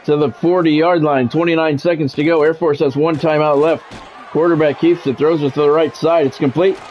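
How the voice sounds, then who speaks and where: A man's voice: a little high, fairly even intonation, fairly quick, lo-fi sound. A middle-aged man doing live football game commentary mixed with casual chat, talking in a blunt, profane, conversational way. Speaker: game streamer and play-by-play commentator.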